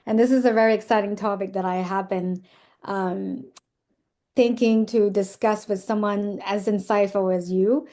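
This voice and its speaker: A woman in her thirties with a Chinese accent, speaking as a podcast guest in an easygoing, earnest way. Her voice is mellow and warm, and her pitch sits in an ordinary middle range. She often fills pauses with small hesitations and restarts. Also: steady tone. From China. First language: Zhuang dialect or Mandarin. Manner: earnest, with many fillers and restarts; laughs easily. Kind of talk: casual interview chat.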